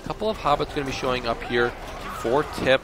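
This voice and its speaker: A man's voice: medium pitch, fast. A man with a slightly scratchy voice giving analytical, explanatory commentary on a strategy game match. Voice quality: a little scratchy. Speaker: esports replay commentator. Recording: game music under the voice. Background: video game music.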